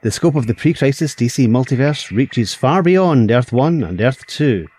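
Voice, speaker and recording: A man with a mid-range voice reads a scripted podcast promo as co-host. His dramatic delivery is very animated in pitch, over faint noise. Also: moderate pace.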